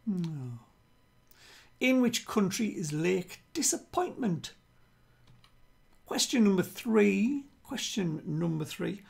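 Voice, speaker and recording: An older man with a British accent hosts a quiz. His voice is husky and full of creak, and he takes his time. He delivers things deliberately, often saying them twice over. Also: sits high. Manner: deliberate, repeats himself. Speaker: quiz host. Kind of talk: reading quiz questions to camera. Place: small room at home with bookshelves.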